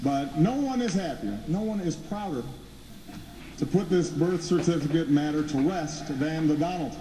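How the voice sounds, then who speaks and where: Mellow man reading news in an echoey clip. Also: a little high, animated, normal speed, a little hiss or hum. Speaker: news anchor. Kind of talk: broadcast news read.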